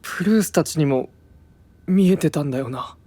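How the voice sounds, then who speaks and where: A man playing a weary, gentle young knight who doubts himself, with subdued, hesitant delivery in a fantasy audio drama. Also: fairly high pitch, normal speed, dry recording, close to an everyday voice. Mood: troubled, hesitant.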